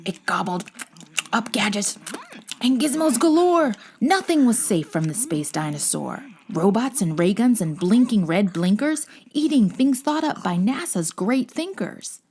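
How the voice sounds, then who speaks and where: A woman's voice: mid-range voice, expressive, measured pace, darkish tone, a little hiss or hum. A woman reading a children's storybook aloud as host, with dramatic, playful emphasis. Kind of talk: expressive scripted storybook narration.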